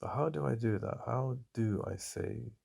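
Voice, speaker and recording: An English man speaking softly and intimately in a boyfriend ASMR roleplay, halting and heartfelt. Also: mid-range voice, vocal fry, breathy edge.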